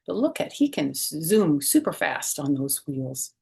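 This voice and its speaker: Lower-pitched woman reading aloud to children. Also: a little slow, creaky. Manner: expressive, questioning, speaks directly to young listeners. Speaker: storytime host reading a children's book. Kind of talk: children's read-aloud with simple explanatory asides.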